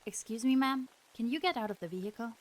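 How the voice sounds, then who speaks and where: Woman voicing a menacing ghost woman, hushed and husky. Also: on the high side, animated, fast. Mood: falsely polite. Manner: eerie, menacing, whispering. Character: vengeful glowing ghost of a jealous murderous woman.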